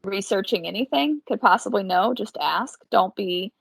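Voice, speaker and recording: A woman's voice: medium pitch, moderate pace, vocal fry, husky, no room sound. A woman co-hosting a podcast in casual chat, chatty and self-deprecating. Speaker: podcast co-host.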